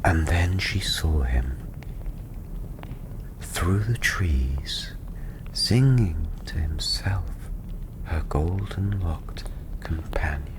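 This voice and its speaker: A man softly narrating a fantasy story in ASMR style, breathy and often half-whispered, soothing and unhurried. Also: very deep voice. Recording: steady ambient background noise.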